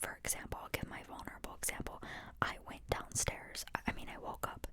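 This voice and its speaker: A woman speaking in a breathy, unvoiced whisper, reflecting informally and earnestly in an ASMR style as she questions her own ideas. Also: fast, sharp tone, no echo. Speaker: host sharing reflections on a psychology book.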